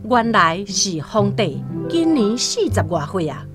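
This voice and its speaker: A woman with a Taiwanese accent narrating a radio drama as an expressive scripted story. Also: medium pitch, a little slow, music in the background.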